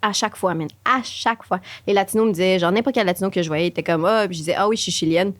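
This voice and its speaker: A woman's voice: mid-range voice, racing. A young woman with a Quebec French accent co-hosting a casual podcast, slangy and slipping English phrases into her French. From Quebec, Canada, of Chilean parents. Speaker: podcast co-host. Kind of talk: casual conversational podcast chat.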